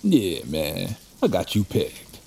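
A man playing a scrappy, mouthy mugger and desperate addict in an audio drama, streetwise, slangy and excitable. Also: sits low, slow, heavily acted. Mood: mocking. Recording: clear background noise, rain ambience.

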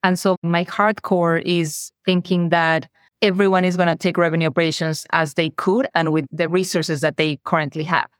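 A woman's voice: lowish voice, flat delivery, normal speed, dry recording, studio quality. A woman from Mexico with a Mexican accent, a native Spanish speaker, telling her career story as a podcast guest in an earnest, reflective way. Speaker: guest, revenue operations director. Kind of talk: interview conversation.

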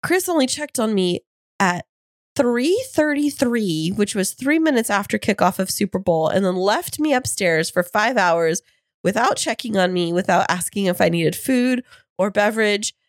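A woman co-hosting a podcast in casual chat, her voice hoarse and froggy from being sick, telling stories in a teasing, animated way. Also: mid-range voice, normal speed, dry recording, clean studio sound. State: hoarse from illness. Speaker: podcast co-host. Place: home studio.

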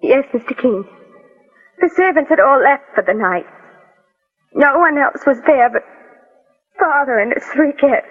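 An actress playing an anxious, bereaved young American daughter in a 1940s radio drama, polite and earnestly pleading. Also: female voice, high-pitched, lively intonation, measured pace, long pauses. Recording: tinny, reverberant old radio recording with music.

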